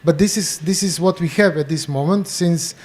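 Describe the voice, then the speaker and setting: A man with a Croatian accent introducing himself on a panel, halting, with many fillers and restarts. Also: on the high side, darkish tone. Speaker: panel guest, bioenergy therapist.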